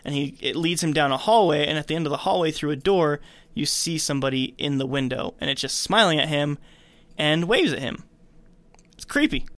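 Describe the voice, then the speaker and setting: A man hosting a podcast, casually retelling a film's story in an animated storytelling way. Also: a little high, fairly quick, no room sound. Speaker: podcast host recapping a film. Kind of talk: casual film recap narration.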